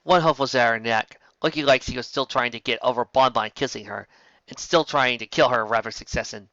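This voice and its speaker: A man's voice: mid-range voice, brisk, sharp tone, no room sound. A man reading fan fiction aloud in a plain storytelling manner, with small slips and restarts. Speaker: reader. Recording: dull, lo-fi home recording.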